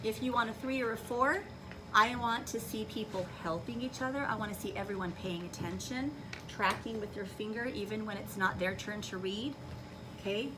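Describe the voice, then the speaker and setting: A woman teaching young children in a classroom, giving clear directions and checking understanding with short questions. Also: mid-range voice, normal speed. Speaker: elementary school teacher. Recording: distant mic in noisy classroom.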